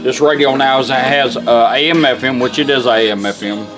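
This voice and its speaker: A man with an average-pitched voice presents a product in a casual, hands-on way. He talks in a folksy, plain-spoken manner, and music plays clearly in the background. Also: fairly even intonation, normal speed.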